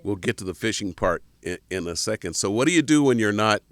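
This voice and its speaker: A man's voice: on the low side, fast talker, no echo. A man hosting a podcast interview in a casual, upbeat way, sometimes stumbling over his words. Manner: upbeat, bursts of excitement, frequent restarts. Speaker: podcast host.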